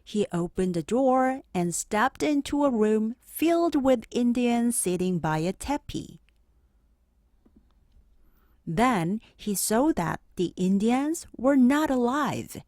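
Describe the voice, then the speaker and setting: Woman, storybook narrator, expressive, animated pitch, unhurried, dry recording. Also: dry recording. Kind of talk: children's read-aloud narration.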